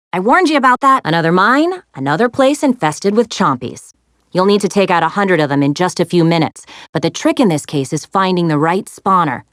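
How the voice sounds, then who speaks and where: Sassy, plucky game heroine, dry studio sound. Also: female voice, lowish voice, no room sound. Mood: wry, scolding, then brisk, wry. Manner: expressive, wry, peppy. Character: plucky, sassy young woman adventurer briefing heroes on challenges. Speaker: video game voice actress. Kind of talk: animated video game character lines, giving mission briefings.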